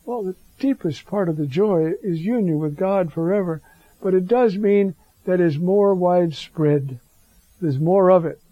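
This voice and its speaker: A hoarse man giving a slow, reflective homily as a priest, halting often with fillers. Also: high voice, no room sound, lo-fi sound.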